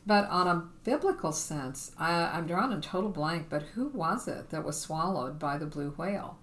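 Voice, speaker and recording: A woman's voice: fairly low pitch, animated, normal speed, fairly bright tone, slight background noise. A woman hosting a casual interview, often affirming and echoing what her guest says. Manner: affirming, echoes the guest's words. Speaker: host.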